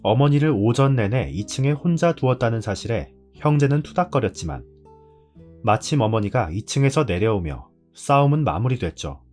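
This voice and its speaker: A man's voice: medium pitch, lively intonation, normal speed, a little mellow, soft background music. A man hosting and reading a scripted narration. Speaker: host.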